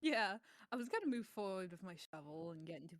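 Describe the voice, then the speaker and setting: A woman's voice: medium pitch, sing-song, quick pace, dry recording, clean studio sound. A woman talking casually as a player in an online tabletop roleplaying game. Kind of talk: casual tabletop gaming chat. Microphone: online voice call.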